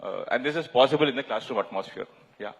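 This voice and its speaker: A man's voice: medium pitch, fast, gravelly. Indian man speaking in a reverberant hall. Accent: Indian English. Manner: hesitant, many fillers and restarts. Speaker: panelist. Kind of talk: panel discussion answer. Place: large conference hall.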